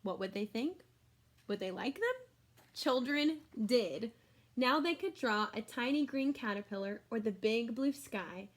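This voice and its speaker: A woman reading a children's book aloud like a teacher, expressively, with exclamations and simple explanatory asides for young listeners. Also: a little high.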